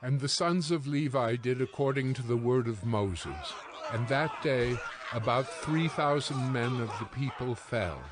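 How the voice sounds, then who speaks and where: A man narrating scripture from a script, solemn and deliberate, as in an audio Bible. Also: medium pitch, lively intonation, noisy background. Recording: music behind the voice.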